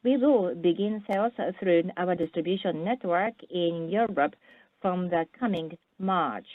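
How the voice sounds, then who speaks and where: A woman with a fairly low voice presents scripted corporate material over a narrow, phone-like line. The sound is dry and close, without room echo. She reads formally and at a measured pace, with the occasional stumble. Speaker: corporate earnings call presenter.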